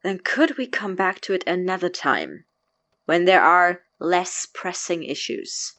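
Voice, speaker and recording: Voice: mid-range voice, a little slow, sharp tone, no echo. A performer playing a stern, incredulous young man, blunt, sarcastic and demanding, in a naturalistic audio drama. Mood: sarcastic, impatient. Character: stern, incredulous young man confronting his experimenting housemate.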